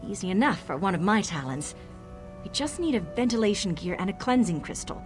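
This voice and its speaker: A woman plays a brisk, self-assured engineer from a fantasy clockwork city. Her voice sits at a middle pitch and she explains things with precise, technical confidence, as in video game quest dialogue. Soft music plays underneath. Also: very animated, close to an everyday voice. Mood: confident, explanatory.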